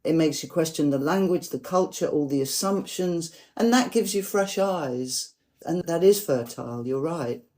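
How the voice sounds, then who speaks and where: A woman poet speaking in an earnest, reflective way about language, slowing down to stress her ideas. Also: sits low, mellow. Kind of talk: reflective conversation about poetry.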